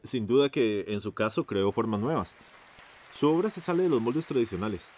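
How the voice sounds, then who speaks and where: A man's voice: medium pitch, expressive, normal speed, darkish tone, close to an everyday voice. A man acting in radio theatre as a courteous, enthusiastic 1950s radio journalist, with a Costa Rican accent and a formal, announcer-like manner. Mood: admiring, courteous. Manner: formal, effusively polite, announcer-like.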